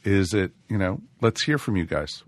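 Low-voiced male podcast host, rambling. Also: animated, moderate pace, dry recording. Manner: rambling, many fillers and restarts. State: a bit scratchy from a cold. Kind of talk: casual live podcast chat.

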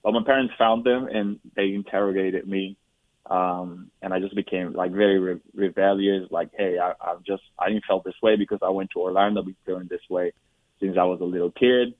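A man from Puerto Rico in his forties, a guest telling his personal story in an interview, talking haltingly with frequent restarts and fillers. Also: low voice, fairly even intonation, dry recording. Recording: dry, tinny phone-like line.

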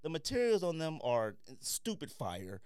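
A man with a smooth, clear voice speaks in a dry, close-sounding space. He hosts a casual review podcast, giving firm opinions in relaxed slang and hammering points by repeating them. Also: fairly high pitch, measured pace, dry recording. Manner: opinionated, slangy, emphatic repetition. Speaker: podcast host. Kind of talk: casual sneaker review chat.

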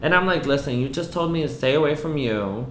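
A woman from the US East Coast telling a personal story to a host, candid and animated, filling her talk with "like" and some swearing. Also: deep voice, a little fast, slight background noise. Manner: frank, animated, peppered with "like" and swearing. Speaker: guest. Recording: roomy echo.

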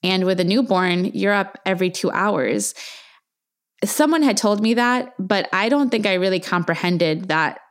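A woman's voice: mid-range voice, animated, normal speed. A woman in her thirties, born in Argentina and raised in the U.S., candidly recounting her son's birth in an earnest, reflective monologue. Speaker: mother telling her birth story. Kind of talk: personal storytelling monologue.

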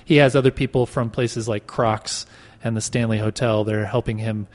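A man's voice: lowish voice, fairly even intonation, normal speed, darkish tone. A man hosting a casual podcast in a wry, joking way. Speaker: podcast host. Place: marble boardroom in a clock tower. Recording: echoey marble room, long reverb.

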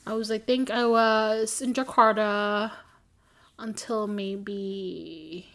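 A woman's voice: mid-range voice, steady tone, slow pace, vocal fry, fairly bright tone. Chatty rambling young woman, giggly. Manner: rambling, giggly, self-correcting. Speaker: podcast co-host. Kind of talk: casual chat.

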